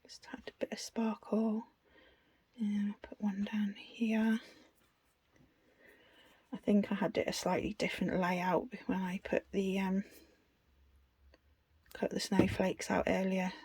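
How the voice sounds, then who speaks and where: A woman's voice: mid-range voice, monotone, slow pace, pauses often, a little mellow, husky, dry recording. A British woman giving a casual, unscripted craft tutorial in a chatty, self-deprecating way. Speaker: craft video host.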